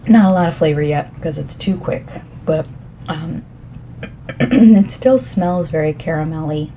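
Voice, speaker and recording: A slow, soft-spoken middle-aged woman with a mellow, slightly low voice, croaky and often clearing her throat. She rambles gently with fillers as she tastes tea at home. A faint hiss sits under the recording. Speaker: ASMR tea reviewer.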